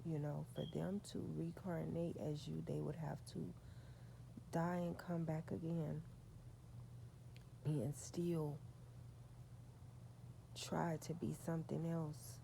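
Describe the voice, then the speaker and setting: Woman, soft low voice, gravelly, mellow, slow confiding talk, slips into whispers. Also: little pitch movement, a little hiss or hum, low-quality recording. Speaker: vlogger. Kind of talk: spiritual storytime monologue.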